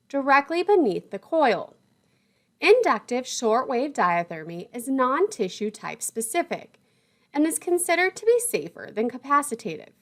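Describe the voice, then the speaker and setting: A woman's voice: high voice, a bit bright. A woman lecturer reading scripted instructional narration in a formal, precise manner.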